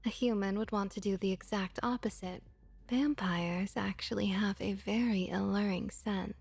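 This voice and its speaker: A woman playing a friendly female vampire innkeeper and bartender in an ASMR roleplay, speaking softly and gently, often near a whisper. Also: medium pitch, steady tone, no echo. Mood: gently instructive. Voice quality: soft, breathy, often slipping into whispers. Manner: unhurried, gentle, reassuring.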